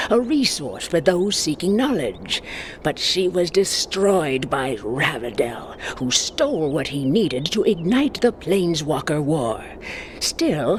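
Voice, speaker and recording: Mysterious lore keeper for a fantasy game, really low voice, swoops around, portentous. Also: female voice, a little hiss or hum. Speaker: campaign narrator. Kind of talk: scripted fantasy game narration.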